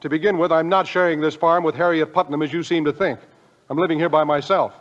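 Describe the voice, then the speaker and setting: An actor playing an earnest, easily exasperated young husband on a farm in old film comedy style, stammering when emotional. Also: male voice, fairly high pitch, fairly even intonation, fast talker, lightly acted. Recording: dull old film soundtrack.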